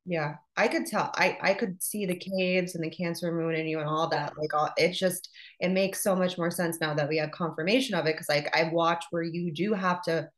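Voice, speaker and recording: A woman's voice: lowish voice, fairly even intonation, normal speed. A woman podcast host chatting casually and frankly, laughing often and swearing now and then.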